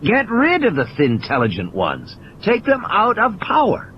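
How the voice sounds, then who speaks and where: A man's voice: sits high, wildly swooping pitch, normal speed, highs cut off, slight background noise, voice pushed up, full character voice. A man playing an injured, cynical and irritable scientist in an audio play, ranting emphatically in philosophical, insistent repetitions. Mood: bitter, weary.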